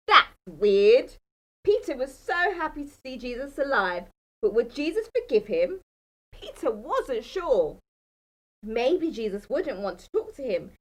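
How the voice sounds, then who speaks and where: A woman telling a children's bedtime Bible story in a dramatic, emphatic manner. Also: high-pitched. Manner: dramatic, emphatic, asks the listeners questions.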